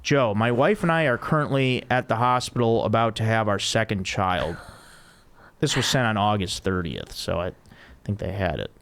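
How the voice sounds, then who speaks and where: A man hosting a laid-back podcast, reading listener mail and chatting loosely. His voice sits low and sounds smooth and clean. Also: expressive, moderate pace, fairly bright tone. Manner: easygoing, reads listener emails aloud. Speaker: podcast host. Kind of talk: casual chat.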